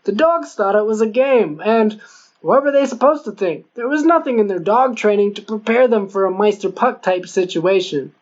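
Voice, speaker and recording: A man's voice: high voice, expressive, normal speed, dull audio. A man reading scripted narration from a comic novel as an audiobook narrator.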